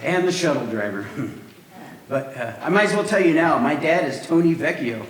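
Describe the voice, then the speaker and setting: An actor playing an eager young shuttle driver and struggling sculptor in live musical theatre, with halting, casual, slangy speech. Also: male voice, fairly high pitch, expressive, natural voice. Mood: chummy, excited. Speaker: stage actor in a musical reading. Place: indoor live staged reading. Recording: roomy live stage recording, loud music.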